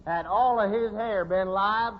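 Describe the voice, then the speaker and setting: An actor playing a Texan cowhand who halts through Othello as a menacing amateur, in a Texas accent and old radio style. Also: male voice, high-pitched. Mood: gruff, accusing. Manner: halting, stumbling over half-remembered lines. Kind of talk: 1950s radio acting of amateur stage melodrama. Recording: dull, noisy old radio recording.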